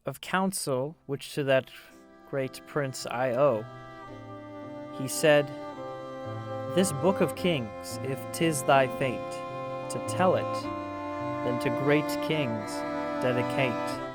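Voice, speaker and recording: A man narrating a historical tale as a storyteller, with theatrical, measured delivery. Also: medium pitch. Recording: music under the voice. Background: musical instruments playing.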